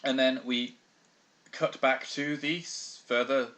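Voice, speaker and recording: A man's voice: mid-range voice, steady tone, takes it slowly, bright, forceful tone. A British man co-hosting a podcast, talking in a dry, wry and rambling way with lots of fillers. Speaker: podcast co-host. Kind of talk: casual anime discussion.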